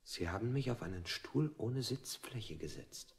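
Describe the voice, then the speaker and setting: A man playing a dazed prisoner in a radio play, recounting his interrogation in measured, literary sentences. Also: lowish voice, expressive, vocal fry. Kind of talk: avant-garde German radio play acting.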